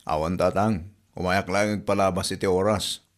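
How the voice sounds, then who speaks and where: A man acts out a defensive, easily flustered husband in a radio comedy. His voice sits on the low side, with an Ilocano accent and an aggrieved, protesting delivery. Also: steady tone, normal speed, lightly acted. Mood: sulky.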